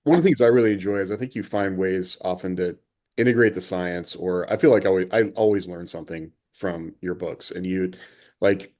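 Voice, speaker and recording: A man's voice: low voice, a little fast, darkish tone. A man hosting a podcast interview, talking in a casual, appreciative and loosely conversational way.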